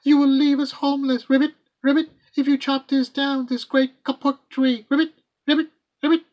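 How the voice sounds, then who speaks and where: A man voicing a small tree frog that pleads urgently, squeaky and loud. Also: flat delivery, moderate pace, dull audio. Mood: pleading.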